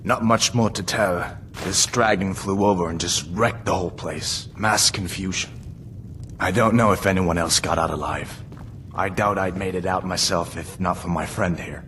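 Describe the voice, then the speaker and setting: A man's voice: deep voice, normal speed, fairly bright tone, slight background noise. A man voicing an earnest, shaken soldier in a fantasy video game, sober and matter-of-fact. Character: earnest, shaken young soldier reporting a dragon attack. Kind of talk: video game character acting.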